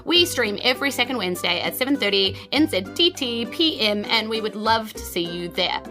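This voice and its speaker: A woman's voice: fairly high pitch, lively intonation, brisk, music in the background. A woman with a New Zealand accent, game master and podcast host, narrating and addressing listeners. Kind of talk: game narration and scripted-casual show sign-off.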